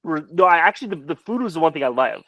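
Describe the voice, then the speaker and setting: Animated man chatting on a video call. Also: fairly high pitch, rapid-fire, dry recording, highs cut off. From United States. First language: Polish. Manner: animated storyteller, many restarts. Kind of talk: casual chat.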